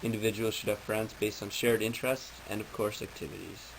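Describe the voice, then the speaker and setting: A man's voice: lowish voice, fairly even intonation, fairly quick, creaky, background noise. A man reading out a prepared informational presentation in a formal, textbook-like way.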